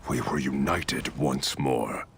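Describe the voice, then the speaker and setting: An actor playing a grim, commanding veteran Viking raider in a game cutscene, speaking tersely in a deep, gravelly voice. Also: male voice, takes it slowly, a bit bright, a little hiss or hum, exaggerated voice. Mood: solemn, resolute. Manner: terse, weighty delivery. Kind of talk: cinematic game cutscene acting.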